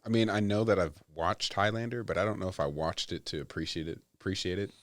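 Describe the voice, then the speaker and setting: A relaxed man with a deep, low voice, chatting on a podcast and leaning into his points slowly. The sound is dry and close, with no room echo. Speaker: podcast co-host. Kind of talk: casual chat.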